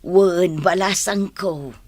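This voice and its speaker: A woman's voice: fairly low pitch, slow, a little mellow, no room sound. A woman with an Ilocano Filipino accent playing a kindly, motherly village elder who encourages the young in a radio drama.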